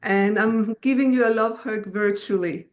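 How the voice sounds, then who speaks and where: A middle-aged woman from Belgium, a native French speaker with an Americanized French accent, telling her story as an interview guest in a halting way. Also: mid-range voice. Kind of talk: personal storytelling in an online interview.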